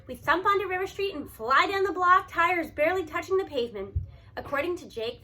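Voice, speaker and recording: A woman reading a children's novel aloud in an expressive, animated storytelling manner. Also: high-pitched. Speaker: audiobook reader.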